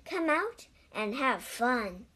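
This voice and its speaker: A woman's voice: on the high side, very animated, slow, sharp tone, heavily acted. A woman voicing a cheerful, reassuring and slightly bossy male frog in a children's storybook. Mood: cheerful, coaxing.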